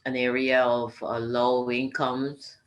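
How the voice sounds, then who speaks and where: A woman with a very deep voice and a Spanish accent talks on a panel. Her delivery is conversational and halting, with repeated words, and the sound is echoey. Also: flat delivery. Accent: Spanish-accented English. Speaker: panelist, clinic worker. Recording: echoey online livestream audio.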